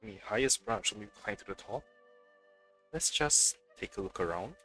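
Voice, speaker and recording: A man's voice: on the low side, animated, moderate pace, bright, forceful tone, faint music behind. A man streaming a video game, giving casual commentary and reading bits of text aloud.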